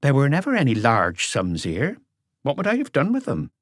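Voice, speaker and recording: A man's voice: medium pitch, wildly swooping pitch, normal speed, no room sound, studio quality, voice pushed up. A man voicing a wary, proud elderly mother with muttered, defiant retorts in an audiobook. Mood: wistful, proud. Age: older. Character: sharp-tongued elderly mother, wary, proud of her son. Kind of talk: audiobook character voice.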